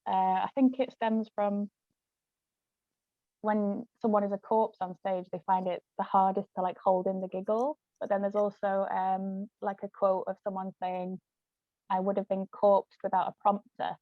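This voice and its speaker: A woman's voice: mid-range voice, flat delivery, moderate pace, no room sound, phone-quality sound. A woman, a guest author and actress, explaining terms in casual conversation.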